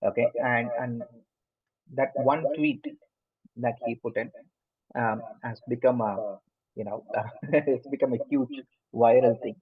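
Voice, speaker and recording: Indian guy teaching a class online, lots of ums and restarts, husky voice, sounds phone-like and far off. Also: mid-range voice, unhurried, long pauses. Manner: hesitant, many fillers and restarts. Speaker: lecturer. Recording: phone-like muffled line from afar.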